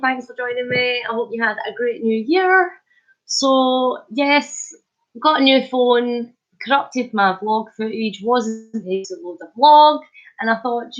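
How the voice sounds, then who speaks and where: A Scottish woman talks casually on a live stream, her voice fairly high and a bit thick from a head cold. She chats warmly and greets viewers as she goes. The sound is dull and lo-fi, as from a phone. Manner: chatty, warm greetings, chuckles. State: head cold, sore throat. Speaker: vlogger hosting a live stream.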